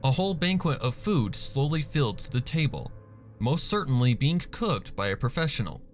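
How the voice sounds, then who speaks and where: A man narrates fan fiction in a dramatic, scripted style. His medium-pitched voice moves expressively up and down. Music plays softly behind him. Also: sing-song, moderate pace. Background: background music.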